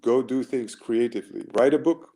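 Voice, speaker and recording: Man, American accent, forties, gravelly, mellow, coaching webinar, rambling with fillers. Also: medium pitch, normal speed. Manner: earnest, rambling, with many fillers and restarts. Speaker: mental performance coach. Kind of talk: motivational webinar talk.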